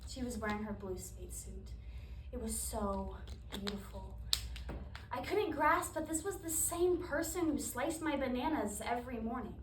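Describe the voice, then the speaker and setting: A woman performing a wistful, grieving daughter in a dramatic monologue, speaking in a subdued, confessional, halting way. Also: fairly high pitch, animated, lightly acted. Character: wistful woman recalling childhood grief for her astronaut mother. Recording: echoey room, distant mic, background noise.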